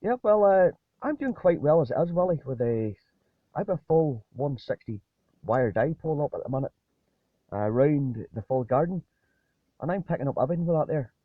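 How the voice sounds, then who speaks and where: Husky man over tinny radio. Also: fairly high pitch, animated, fairly quick, dry recording. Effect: two-way radio transmission. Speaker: amateur radio operator. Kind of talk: casual two-way radio chat. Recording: tinny narrowband ham radio link.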